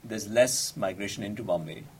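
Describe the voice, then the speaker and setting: A man in a casual academic discussion, asking halting questions with many restarts to check his understanding. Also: lowish voice, a little hiss or hum. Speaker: faculty researcher.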